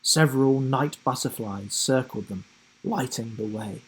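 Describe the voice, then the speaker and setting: A man reading a children's story aloud as narrator, in an expressive, dramatic way. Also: mid-range voice, unhurried, husky, low-quality recording.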